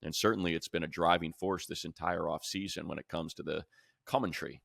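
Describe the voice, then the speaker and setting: A man's voice: deep voice, fairly even intonation, a little fast, gravelly, fairly bright tone, no room sound, clean studio sound. An American man hosting a sports show, talking through analysis casually and emphatically, repeating points to drive them home. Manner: emphatic, conversational, builds points by repetition. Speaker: sports show host, play-by-play announcer.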